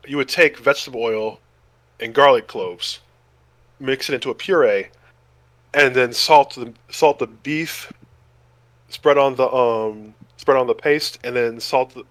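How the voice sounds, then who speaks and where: A man's voice: mid-range voice, unhurried, long pauses, fairly bright tone, no echo. A man chatting casually and enthusiastically as a podcast co-host. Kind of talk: casual chat about food.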